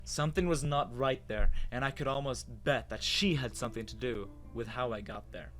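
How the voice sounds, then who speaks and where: A man's voice: mid-range voice, normal speed, a bit bright, faint music behind, natural voice. A man as a bewildered, hesitant human narrator. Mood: uneasy, wondering. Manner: hesitant, dramatic, wondering. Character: bewildered human man with lost memory, narrating his story. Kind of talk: first-person dramatic story narration.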